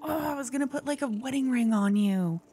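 A woman voicing a jealous, doting young witch girlfriend in an intimate ASMR roleplay, breathy and often whispering, with dramatic delivery. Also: sits high, brisk, mellow, a little hiss or hum, close to an everyday voice. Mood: shocked, upset. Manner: dramatic, swinging from cooing to venting. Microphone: close ASMR microphone.

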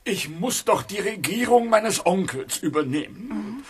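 High, whiny, fat grand vizier. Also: male voice, expressive, unhurried, breathy edge, full character voice. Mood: reluctant, fearful. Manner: whining, hesitant. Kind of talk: children's radio play acting.

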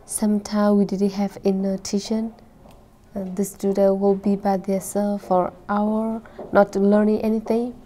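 A teenage girl from Burma reads her own story aloud in careful, simple sentences. Her voice is mellow and stays level, with a faint hiss under it. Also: medium pitch, level tone, measured pace. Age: late teens. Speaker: high school student. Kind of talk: reading a personal story.

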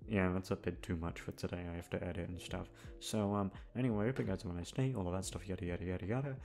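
A man with a fairly low voice talks off the cuff to his listeners. He rambles in a hesitant, self-deprecating way and swears freely. Soft music plays faintly behind him. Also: steady tone, quick pace, vocal fry. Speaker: ASMR audio creator and host. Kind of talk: casual rambling outro chat to listeners.

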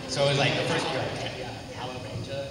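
A man's voice: mid-range voice, level tone, fast talker. A man on a convention panel, telling stories in a playful, teasing way through a handheld mic. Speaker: panel guest actor. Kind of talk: casual convention panel talk. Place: large convention hall panel, indoors. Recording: echoey hall, far from the mic, loud crowd noise.

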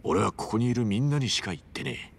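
An actor playing a rough, earnest, big-hearted hero in his forties, speaking bluntly in casual street Japanese in a video game cutscene. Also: medium pitch, lively intonation, brisk, a little hiss or hum. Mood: probing.

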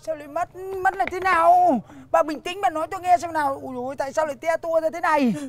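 An actor with a Northern Vietnamese accent playing a hapless, panicky, pleading husband who repeats himself in loud exclamations. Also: male voice, extremely high pitch, fairly even intonation, fast talker. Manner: stammering repetition, loud exclamations. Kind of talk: broad comedy sketch acting.

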